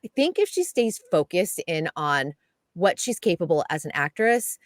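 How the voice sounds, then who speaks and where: A woman giving a chatty, lively entertainment news segment on a radio show, laughing often. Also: on the low side, moderate pace, dry recording, studio quality. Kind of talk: casual radio chat.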